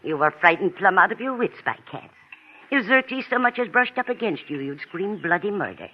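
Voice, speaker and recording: Casting: frail but shrewd old auntie, animated and fond, on a muffled old radio recording. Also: female voice, medium pitch, moderate pace. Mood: gently suspicious. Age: older. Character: frail, fond, sharp-witted old aunt. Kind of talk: 1940s radio drama acting.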